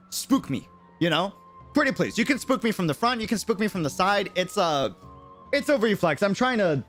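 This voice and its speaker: An American man chats loosely on a game livestream over soft music. His voice sits fairly high and swoops up and down a lot, and his delivery is slangy and rambling. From New York City, United States. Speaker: game streamer. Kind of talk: casual livestream chat and reactions.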